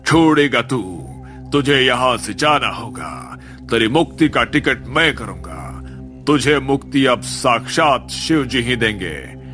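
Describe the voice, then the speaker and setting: A commanding village holy man, played by a man in a horror cartoon. He declaims slowly and sternly in a forceful, sharp-edged voice, with music underneath. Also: mid-range voice, animated. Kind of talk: Hindi horror cartoon story acting.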